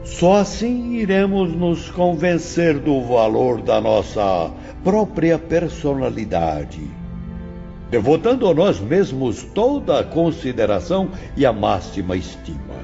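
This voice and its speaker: A man playing a solemn, patient spiritual teacher who lectures his pupils in a measured, grand manner for an audio drama. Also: medium pitch, sing-song, creaky, mellow, full character voice. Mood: grave, exhorting. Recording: dull lo-fi audio, faint music behind.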